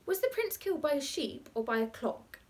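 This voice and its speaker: A woman's voice: sits high, very animated, brisk, voice pushed up. A woman voicing eager, blunt, curious young children who question insistently in a story reading.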